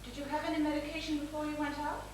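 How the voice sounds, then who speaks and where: A strict, rule-bound nursing sister, played by a stage actress, curt and scolding. Her high voice rattles along quickly in a very echoey hall. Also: little pitch movement, natural voice. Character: strict, bossy nun nurse, stern and rule-bound. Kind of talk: stage play acting. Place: small theatre stage. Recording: very echoey theatre, distant mic, noisy.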